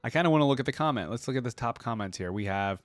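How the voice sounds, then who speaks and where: A man's voice: mid-range voice, animated, rapid-fire, dry recording. A man giving casual, informal reaction commentary as a video host, musing aloud as he goes.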